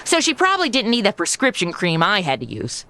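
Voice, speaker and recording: A woman's voice: mid-range voice, wildly swooping pitch, a little fast, sharp tone. A woman playing a flippant, sarcastic young American tourist with slangy modern delivery in a comedy audio drama. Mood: wry.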